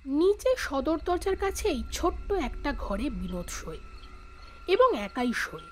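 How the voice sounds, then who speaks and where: Female narrator, very high-pitched, highly animated, suspenseful, dramatic storytelling, faint insect ambience. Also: unhurried, slight background noise. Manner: expressive, suspenseful.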